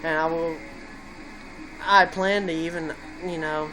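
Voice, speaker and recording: A man's voice: fairly high pitch, steady tone, unhurried, noisy background. A man vlogging at home, a bit drunk and stoned, telling a long profane rambling story. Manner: profane, meandering, tipsy storytelling. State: tipsy and stoned. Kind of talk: rambling casual chat. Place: at home indoors. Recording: music playing in the room.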